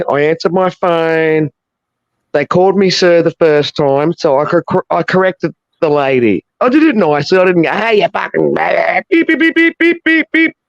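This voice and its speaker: An Australian woman with a deep voice calling into a livestream, telling a story bluntly and with plenty of swearing. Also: no echo, lo-fi sound. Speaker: viewer caller. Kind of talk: casual chat.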